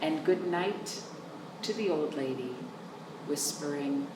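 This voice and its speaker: A woman hosting storytime for young children, reading aloud in an animated sing-song way with chatty asides to her listeners. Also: low voice, slow pace. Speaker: children's storytime host at a library. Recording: roomy, distant microphone with noticeable background noise.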